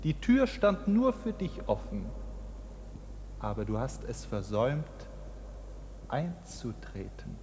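An actor playing a stern priest of a court in a radio drama, solemn and sermonizing. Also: male voice, medium pitch, wildly swooping pitch, slow pace, long pauses, darkish tone, a little hiss or hum. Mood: grave, resigned. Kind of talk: dramatic radio play acting. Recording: long church-like reverb, background ambience.

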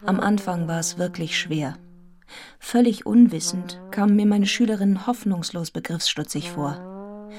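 An actress playing an earnest young village schoolmistress who tells her story in a measured, articulate radio drama narration. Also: medium pitch, a little mellow, lightly acted. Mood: reflective. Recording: music behind the voice.

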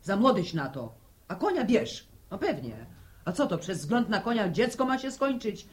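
An actress playing a blunt but good-hearted older farm wife in a radio drama, with brusque rustic speech. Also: female voice, medium pitch, very animated, moderate pace, natural voice. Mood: brusque, relenting. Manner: brusque, rustic, repeats words.

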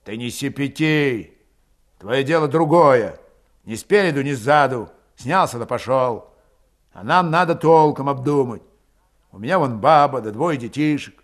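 A man voicing a proud, quick-tempered young Cossack father and war veteran in an audiobook, gruff and curt in rustic dialect. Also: mid-range voice, animated, lightly acted. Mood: curt, resentful. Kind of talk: audiobook character voice.

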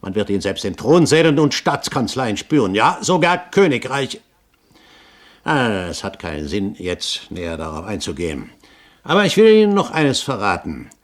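Older pirate captain, aristocratic, pompous, cunning, eloquent, theatrical 1970s German radio play delivery. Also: male voice, on the low side, sing-song, normal speed. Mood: confiding, scheming.

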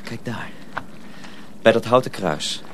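A man playing a calm, knowing senior apprentice in a radio drama, low-key and matter-of-fact. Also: slow, background noise. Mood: calm, grave. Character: calm, knowing older fellow apprentice at night.

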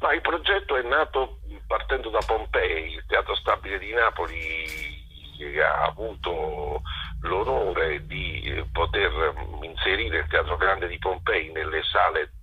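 A man with a husky voice, explaining his work as a theatre director in an interview, hesitantly searching for words. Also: low-pitched, a little slow, highs cut off.

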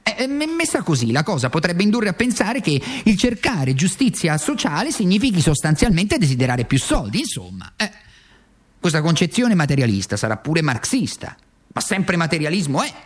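An actor playing a tormented, self-accusing worn-out communist in a theatrical radio monologue, halting and repeating phrases for emphasis. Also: male voice, on the high side, very animated. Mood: questioning, bitter.

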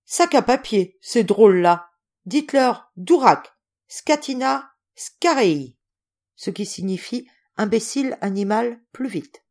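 A woman voicing a gruff, hot-tempered but kindly old general in a storybook, blustering and imperious. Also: mid-range voice, big pitch swings, moderate pace, darkish tone, pitched above the performer's own voice. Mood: impatient, commanding. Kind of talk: acted storybook reading.

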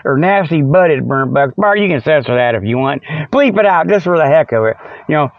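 A middle-aged American man with a slightly high voice rambles through a folksy comedic monologue. He talks colloquially and keeps drifting off his point, like a host chatting off the cuff. The recording is dry and a little dull and lo-fi. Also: lively intonation, a little fast, no room sound. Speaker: podcast host.

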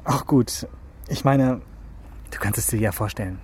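A man's voice: lowish voice, a little slow, darkish tone, close to an everyday voice. Actor as easygoing young man, noisy ambience. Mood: wry, resigned. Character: easygoing, impatient young ex-cop working in a restaurant. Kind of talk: naturalistic radio drama dialogue.

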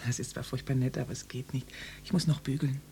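An actress playing a timid, yearning housewife in her mid-thirties in a German radio play, hesitant and apologetic, with a breathy voice. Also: a bass voice, steady tone, fast talker, mellow, exaggerated voice. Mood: wistful, demure. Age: middle-aged. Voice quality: breathy, often half-whispered.

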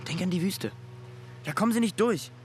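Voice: mid-range voice, lively intonation, brisk, natural voice. A performer playing an eager, adventurous young Apache companion in a German radio drama. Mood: admiring, assured. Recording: loud music behind.

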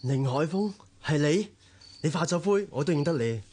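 A captive young boss in a Cantonese radio drama, with a Hong Kong accent. The voice is strained and raspy, a little high for a man, and bristles with weakened defiance. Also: lively intonation, normal speed, a little mellow. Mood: weak, hostile.

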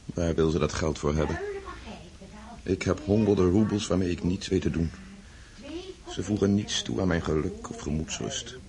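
Very low-voiced brooding young count. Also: male voice, normal speed, pauses often, a crowd in the background. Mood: weary, troubled. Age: young adult. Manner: brooding, hesitant, polite. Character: wealthy young Russian count, unhappy, brooding, doubting. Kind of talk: radio drama acting. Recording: noisy old radio play recording.

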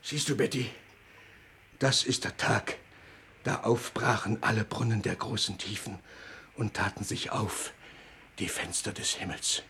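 An actor playing a wrathful, pious older patriarch in a German radio play, declaiming ominously like scripture. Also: male voice, on the low side, measured pace, pauses often, sharp tone, a little hiss or hum. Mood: solemn.

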